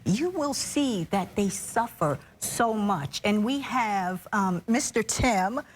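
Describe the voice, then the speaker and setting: A woman's voice: medium pitch, lively intonation, slow pace. A woman speaking as a playwright and producer, earnestly explaining her work in an interview.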